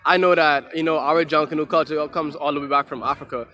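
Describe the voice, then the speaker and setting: A man's voice: a little high, steady tone, fast, pushed and bright. A young man from the Bahamas in a casual street interview, laid-back and filling his talk with "like" and "you know". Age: young adult.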